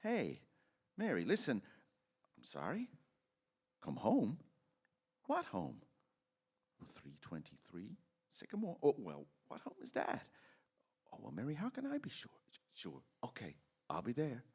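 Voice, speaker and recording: An actor playing an earnest, impassioned young small-town manager in an old-time radio drama, with an American accent and a stammering, pleading delivery. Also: male voice, mid-range voice, wildly swooping pitch, unhurried, long pauses, a little mellow, husky, exaggerated voice. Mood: bewildered. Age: young adult.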